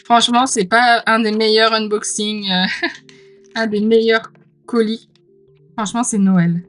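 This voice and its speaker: A woman's voice: on the high side, little pitch movement, a little slow, a bit bright. Gushing, slightly ill woman with background music. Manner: gushing, informal, exclaims often. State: mildly ill, clearing throat. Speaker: host of a tarot unboxing channel. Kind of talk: casual unboxing commentary.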